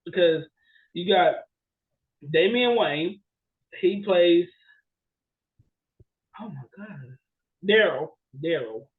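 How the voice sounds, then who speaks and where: Guy w a pretty high voice just chatting on a podcast, rambly and animated. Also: takes it slowly, pauses often, narrow, tinny audio. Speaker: podcast co-host. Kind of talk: casual chat about bad movies.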